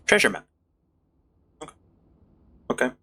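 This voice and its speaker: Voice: unhurried, bright, forceful tone. A game streamer giving casual, rambling gameplay commentary with playful sing-song asides, music behind.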